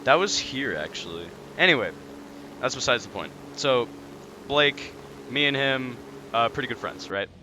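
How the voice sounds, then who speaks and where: A young man telling stories in a casual, halting, informal chat. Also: medium pitch, animated, background noise. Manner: halting, filler-heavy, informal. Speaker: storyteller on a gaming channel.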